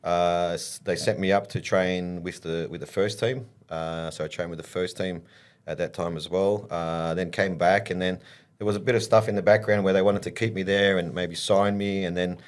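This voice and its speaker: A man, middle-aged, telling stories from his playing days in a relaxed interview, with many pauses and restarts. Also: sits low. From Australia. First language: English. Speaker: football coach and former player, podcast guest.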